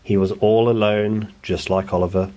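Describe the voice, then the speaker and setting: A man narrates a children's picture book for bedtime. His voice sits a little low, in a storytelling delivery made for young listeners. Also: level tone, moderate pace, warm tone.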